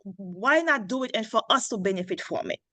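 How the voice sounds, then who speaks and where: A woman's voice: medium pitch, expressive, a little fast, pushed and bright, no echo. A woman in her thirties from Haiti, a founder telling her story earnestly on a video call, a little under the weather. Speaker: founder pitching her coffee brand. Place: small indoor room.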